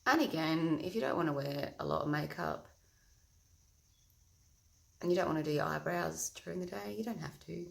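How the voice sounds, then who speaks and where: Husky low-voiced woman, echoey room. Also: moderate pace, pauses often. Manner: loud, chatty, talks through each step. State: early morning, no coffee yet. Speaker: makeup vlogger. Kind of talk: casual makeup tutorial.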